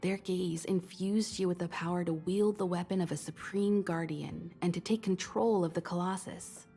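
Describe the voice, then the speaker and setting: Composed space-game mentor, soft mellow tone, thoughtful, measured, faint background music. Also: female voice, on the low side, steady tone, vocal fry, husky. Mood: calm, thoughtful. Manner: measured, thoughtful, gently advising. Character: composed, caring woman mentor aboard a space train. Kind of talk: scripted video game dialogue.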